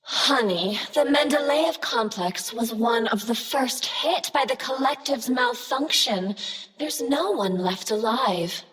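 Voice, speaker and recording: A bright, pleading AI companion. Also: female voice, on the high side, slow, breathy edge, exaggerated voice, rough recording. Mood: tender. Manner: pleading, sweet, animated. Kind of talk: video game character dialogue.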